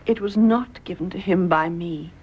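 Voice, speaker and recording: An actress playing a distressed, defensive young British widow in a radio drama, breathy, hesitant and emotional. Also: female voice, fairly low pitch, normal speed. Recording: muffled radio recording, clear background noise.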